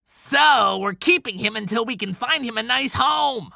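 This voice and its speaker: An actor playing an excitable, stubborn, wisecracking sidekick of Santa's in an audiobook drama, with a creaky, bright voice. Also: medium pitch, measured pace, no echo, clean studio sound, exaggerated voice. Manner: sarcastic, animated, mouthy. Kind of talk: cinematic audiobook character acting.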